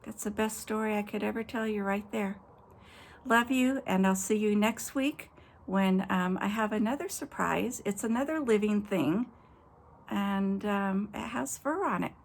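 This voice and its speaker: A woman pastor telling children a story and a short devotional outdoors at night, speaking gently and directly to the kids. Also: medium pitch, unhurried, gravelly.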